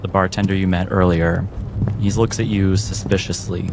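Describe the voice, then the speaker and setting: A man narrating a tabletop role-playing game as game master, in a measured, dramatic way. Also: low-pitched, level tone, creaky, very noisy. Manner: measured, dramatic scene-setting. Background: music.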